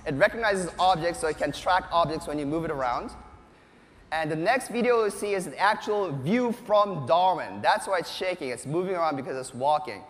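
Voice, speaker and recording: An enthusiastic man in his thirties giving a live keynote tech demonstration as a presenter on a large stage. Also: fairly high pitch. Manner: enthusiastic, animated showman. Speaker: presenter, robotics researcher. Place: large conference stage, indoors. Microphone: lapel mic. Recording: echoey hall with long reverb.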